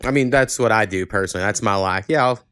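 A man's voice: on the low side, fast talker, a bit bright, clean tone, no room sound, clean studio sound. A man hosting a podcast, talking in a casual, conversational way. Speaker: podcast host. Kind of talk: casual chat.

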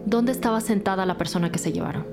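A woman's voice: medium pitch, quick pace, over music, lightly acted. A woman playing a methodical, level-headed police detective in an audio drama. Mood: calm, professional.

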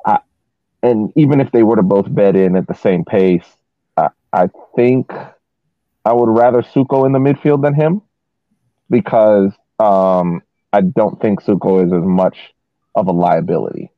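A dry, phone-like recording of a man with a fairly low voice. He gives casual sports analysis as a podcast co-host, pausing and feeling his way through measured phrases. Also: no room sound. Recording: thin phone-like line.

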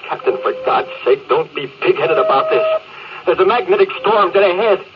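A man's voice: sits high, animated, normal speed. An actor playing an earnest, anxious spaceship crewman, urgent and pleading in an old radio-drama style. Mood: urgent protest. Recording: muffled, very noisy old radio recording.

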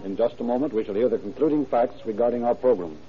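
An American man announces in scripted old-time radio style, as narrator and sponsor spokesman. His pitch is average. The recording is narrow and tinny, like an aged broadcast. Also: fast talker, over loud music.

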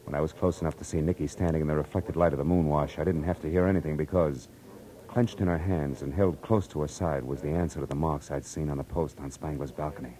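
An actor playing a tough, wry private detective in an old radio drama, with a terse, wisecracking delivery. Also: male voice, rumbling low, steady tone, quick pace, mellow. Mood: grim, wry narration. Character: hard-boiled, wry male private detective. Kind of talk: 1940s radio drama acting and narration. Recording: noisy old radio recording.

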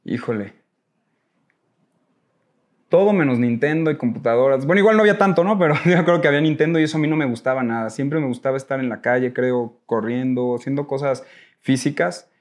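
Mexican guy getting interviewed, medium pitch, casual storytelling, rambles a bit and corrects himself. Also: fairly quick. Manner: informal, self-correcting, rambling. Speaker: interview guest.

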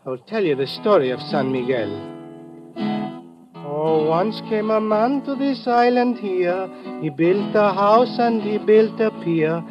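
An old, muffled radio adventure with music, and a high-voiced island guide. He is solemn and superstitious, with stilted broken English and a sing-song delivery. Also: takes it slowly. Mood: eerie chanting. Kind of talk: 1950s American radio adventure acting.

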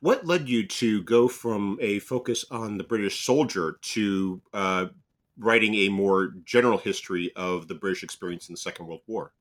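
Low-voiced male podcast host. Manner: halting questions, repeats words. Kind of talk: podcast interview.

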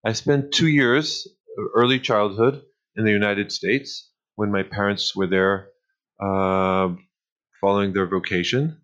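A middle-aged man with a light Israeli accent, answering interview questions thoughtfully with hesitant pauses. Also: on the low side, steady tone, a bit bright, muffled recording. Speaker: guest scholar.

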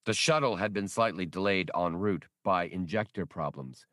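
A man's voice: low-pitched, normal speed, pushed and bright, no room sound, clean studio sound. A man reading a scripted work of fiction as an audiobook narrator.

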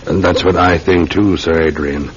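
A man's voice: low-pitched, fairly even intonation, moderate pace, breathy edge. A man acting an earnest, methodical Scotland Yard sergeant in a classic radio drama, questioning politely and insistently. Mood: earnest, probing. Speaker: actor in a radio mystery serial. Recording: muffled old radio recording with faint hiss.

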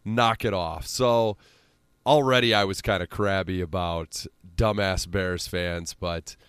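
A man's voice: low-pitched, fairly even intonation, slow pace, fairly bright tone, smooth, dry recording. A man with a central Illinois accent, hosting a casual sports podcast with exasperated, sweary rambling.

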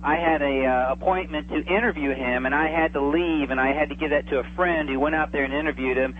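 A man's voice: fairly high pitch, fairly even intonation, fairly quick. A man chatting casually and enthusiastically as a guest on a radio interview, calling in by telephone. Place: remote, calling in to a radio show. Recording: tinny phone line with noticeable hum.

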